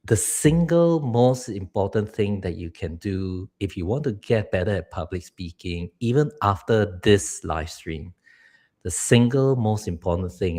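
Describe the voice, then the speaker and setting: Deep voiced guy from singapore, earnest coach on a livestream, laughs here and there. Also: warm tone. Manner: earnest, advice-giving, laughs now and then. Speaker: public speaking coach. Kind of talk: live stream talk.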